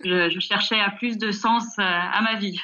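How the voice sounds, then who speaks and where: A woman in her forties giving conversational interview answers over an internet call, pausing often mid-phrase. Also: medium pitch, steady tone, sharp tone, highs cut off. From France, raised in Nigeria. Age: 40s. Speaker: interview guest, family business executive. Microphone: video call.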